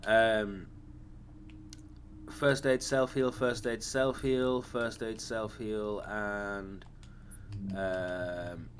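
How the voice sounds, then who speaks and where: A man hosting a gaming channel, casually talking through his game build. His voice is slightly raspy from a lingering cough, and he rambles, with frequent ums and self-corrections. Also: lowish voice, steady tone, very slow, long pauses, a little hiss or hum.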